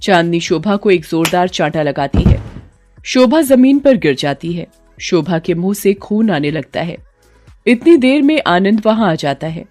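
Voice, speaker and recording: A woman's voice: fairly low pitch, sing-song, measured pace, darkish tone. A woman reading a scripted moral bedtime story as narrator, with music in the background.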